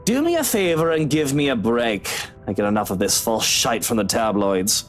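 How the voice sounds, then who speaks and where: An actor playing an arrogant, scornful playboy in a visual novel, with a sarcastic, derisive manner. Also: male voice, medium pitch, lively intonation, moderate pace, faint music behind, close to an everyday voice. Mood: irritated. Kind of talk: dramatic game voice acting.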